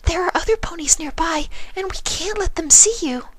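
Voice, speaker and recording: Super high soft breathy girl pony, shy n sweet, kinda hesitant, caring cartoon voice. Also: moderate pace, background noise. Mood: gentle, hesitant. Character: gentle, timid, caring young female cartoon pony.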